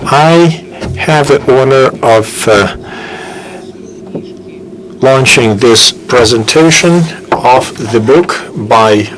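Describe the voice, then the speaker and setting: Slow, halting man welcoming an audience. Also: medium pitch, a bit bright, poor audio. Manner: slow and halting, with many filler pauses. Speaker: business school program head introducing a guest speaker. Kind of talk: formal welcome speech at a book launch. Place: lecture room with an audience. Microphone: handheld microphone.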